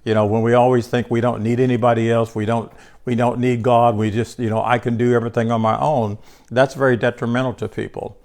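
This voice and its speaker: A man's voice: mid-range voice, level tone, fairly quick, darkish tone. An older man teaching a small group about scripture in a loose, conversational way, congested, often restarting words and speaking to listeners by name. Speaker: bible teacher.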